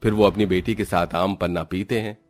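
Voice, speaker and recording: A man's voice: low voice, steady tone, a little fast, soft background music. A man narrating a scripted cartoon horror story as the storyteller.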